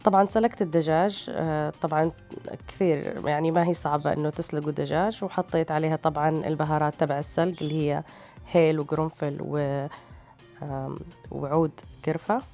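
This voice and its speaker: A woman's voice: sits low, steady tone, a little fast, warm tone. A woman with a tired voice, narrating recipes as a cooking host in a casual, hesitant way. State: tired, strained voice. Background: background music.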